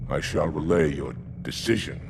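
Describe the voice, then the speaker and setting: An actor playing a stern alien military commander in a video game, formal and forceful. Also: male voice, extremely low pitch, moderate pace, gravelly, noisy background, exaggerated voice. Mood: indignant, restrained. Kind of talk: video game cinematic acting. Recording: loud music behind.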